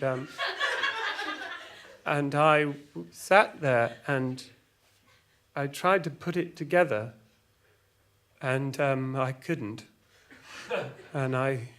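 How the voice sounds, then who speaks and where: Slow, halting, wistful storyteller. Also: male voice, medium pitch, fairly bright tone, a little hiss or hum. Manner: hesitant, halting. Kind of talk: monologue in audio drama.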